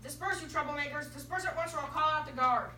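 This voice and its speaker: A teenage girl acting indignant, commanding colonial figures loudly in a school dramatic reading. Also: high-pitched, fast talker. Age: young teenager. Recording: echoey classroom, far from mic, some noise.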